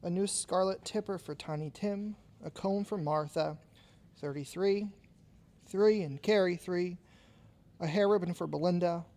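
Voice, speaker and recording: A man's voice: high voice, unhurried, mellow. Meek male clerk, radio drama: kindly, timid, eager to oblige. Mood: dutiful, absorbed. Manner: timid, deferential. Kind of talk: old-time radio drama acting.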